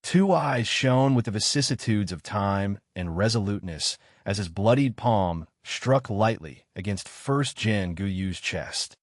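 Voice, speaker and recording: A man narrating a fantasy novel in a steady, dramatic manner. His voice sits low and is recorded dry and close. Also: measured pace, few pauses, no room sound. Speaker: audiobook narrator.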